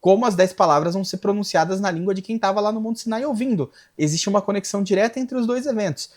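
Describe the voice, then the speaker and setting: A man's voice: sits high, fast talker, darkish tone. A Brazilian man giving a scripture lesson as a religious teacher, explaining and repeating key phrases for emphasis. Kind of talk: Bible lesson, reading Hebrew aloud and translating.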